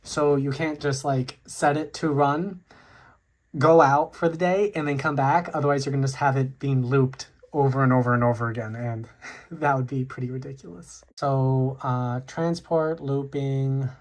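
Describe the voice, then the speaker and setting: A tech video host casually walking through a product tutorial in a hesitant, halting, informal way. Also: medium pitch, steady tone.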